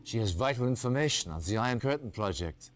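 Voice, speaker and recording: An actor playing a stern male military officer giving a mission briefing in a game, crisp and authoritative. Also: medium pitch, animated, fast talker, lightly acted. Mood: commanding.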